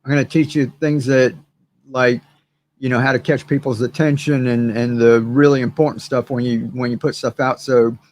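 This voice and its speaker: A hoarse man hosting a gardening channel, chatting casually and rambling to his viewers with many fillers and repeated words. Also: medium pitch, level tone, moderate pace, darkish tone, a little hiss or hum. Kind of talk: casual livestream chat to viewers.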